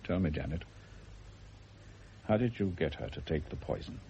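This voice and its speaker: A very deep-voiced doctor in a radio drama, measured and authoritative. He gives orders gently and questions with calm persistence. The old recording is dulled, with clear background noise. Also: lo-fi sound. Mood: quiet, probing. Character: calm, probing male doctor questioning a patient. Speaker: actor.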